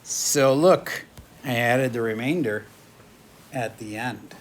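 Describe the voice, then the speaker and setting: A man's voice: mid-range voice, slow, a little hiss or hum. A male math teacher lecturing a classroom in a chatty, joking way while losing his voice. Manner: chatty, jokey, moves around the room.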